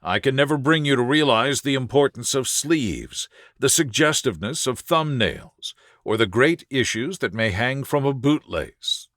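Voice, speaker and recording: A man's voice: mid-range voice, animated, normal speed, few pauses, fairly bright tone, no echo, studio quality, lightly acted. A man voicing a composed, authoritative male detective with a precise, assured, lecturing manner in an audiobook. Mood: analytical. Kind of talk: audiobook character dialogue.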